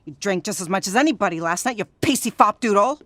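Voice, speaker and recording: A woman playing a feisty, teasing, hard-drinking 1930s wife in an audio drama, sassy and quick to needle. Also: medium pitch, animated, fairly bright tone, no echo. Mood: combative.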